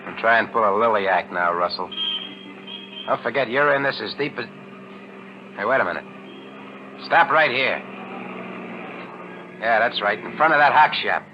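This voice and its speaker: A man's voice: mid-range voice, expressive, normal speed, long pauses, natural voice. An American actor playing a brash, impatient hard-boiled crook in a 1940s radio thriller, with curt, slangy tough-guy snap. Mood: impatient, bullying. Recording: muffled, noisy old 1940s radio recording.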